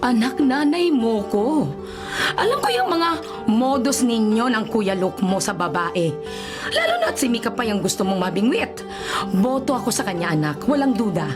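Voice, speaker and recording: A woman's voice: fairly high pitch, animated, normal speed, music in the background, lightly acted. A woman playing a doting, meddling middle-aged mother in a Filipino radio drama, teasing and pushy, with a Filipino accent. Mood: teasing, insistent.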